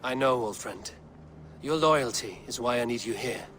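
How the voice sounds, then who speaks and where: A man playing a steady, blunt Norse warrior in a video game, speaking in terse, deliberate phrases. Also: medium pitch, faint music behind, close to an everyday voice. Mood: purposeful. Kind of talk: dramatic video game dialogue.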